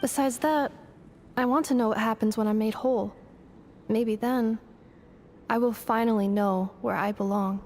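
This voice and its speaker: An actress voicing a thoughtful artificial girl who speaks in a quiet, earnest, reflective way. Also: fairly high pitch, steady tone, moderate pace, long pauses, a little hiss or hum, lightly acted.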